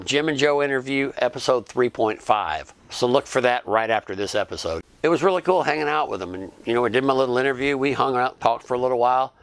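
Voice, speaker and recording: A man tells his travel story for his own channel in an easygoing, conversational way. His voice sits at an ordinary middle pitch. He restarts a phrase here and there and keeps on talking. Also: dry recording. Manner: relaxed, chatty, with small restarts. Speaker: YouTube vlogger and fan interviewer. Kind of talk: casual recounting narration.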